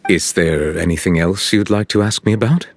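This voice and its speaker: An actor playing a solemn, courteous chief justice in a video game, speaking in formal, measured, stately phrases. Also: male voice, deep voice, dry recording. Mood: formal, composed. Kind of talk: scripted game cutscene dialogue.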